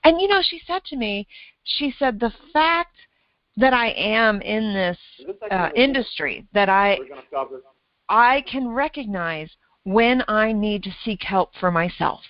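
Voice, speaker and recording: A middle-aged woman, a weight loss coach, talking casually and telling a lively story with frequent laughs. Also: medium pitch, a little slow, no room sound, muffled recording. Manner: animated storyteller, laughs often, acts out her own thoughts.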